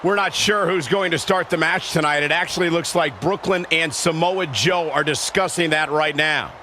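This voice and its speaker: A man's voice: on the high side, moderate pace, slight background noise. A man playing an emphatic, dramatic play-by-play wrestling commentator in a video game. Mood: excited. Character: fired-up, dramatic male play-by-play wrestling announcer. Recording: loud music behind the voice. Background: loud music.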